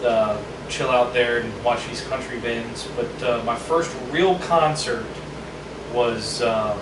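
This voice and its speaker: A young man from Virginia telling a story in casual chat, profane and animated. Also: medium pitch, takes it slowly. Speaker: band member. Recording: roomy, distant mic with noise.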